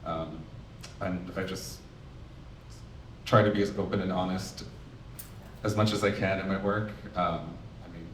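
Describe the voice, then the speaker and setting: A man speaking as an artist on a gallery panel, nervous and halting with many fillers. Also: low-pitched, steady tone, a bit bright, a little hiss or hum. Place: art gallery. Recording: echoey gallery, mic far off.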